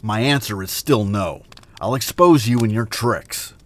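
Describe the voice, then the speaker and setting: An actor playing an earnest, principled young scientist hero with melodramatic delivery in an audio drama. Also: male voice, fairly low pitch, measured pace, natural voice. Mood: indignant.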